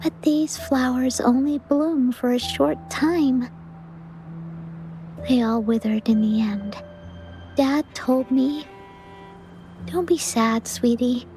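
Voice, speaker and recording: A woman voicing a gentle, wistful young girl in a video game story, speaking softly and earnestly. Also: sits high, takes it slowly, long pauses, music in the background. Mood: sad, tender.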